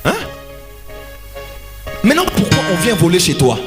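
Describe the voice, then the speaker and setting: Male revival preacher, very animated and emphatic, repetitive short phrases, music underneath. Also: high-pitched, moderate pace. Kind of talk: fervent revival sermon in short phrases for an interpreter. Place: live church service.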